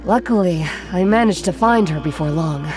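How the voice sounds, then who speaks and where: A woman playing a gentle, modest artisan. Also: on the low side, moderate pace, lightly acted. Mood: apologetic. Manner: calm, gentle, apologetic, modest. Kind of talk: game cutscene dialogue. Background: game music.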